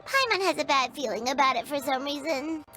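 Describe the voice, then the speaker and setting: A woman voicing a small, excitable, cheeky fairy companion in an animated game, with a squeaky childlike voice. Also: brisk, exaggerated voice. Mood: concerned, curious. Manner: perky, refers to self in third person. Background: prominent background music.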